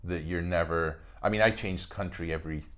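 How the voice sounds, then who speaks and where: A man's voice: low-pitched, fairly quick. A man, an actor chatting as a podcast guest and telling stories with lots of fillers and restarts. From Spain. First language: Spanish. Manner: animated storyteller, many fillers and restarts. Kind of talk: casual podcast conversation.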